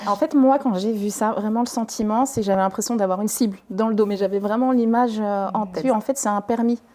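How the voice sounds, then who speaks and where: Mellow-voiced woman in her forties, athlete, earnest personal chat. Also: mid-range voice, fast talker. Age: 40s. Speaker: athlete and sports coach. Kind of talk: casual conversation.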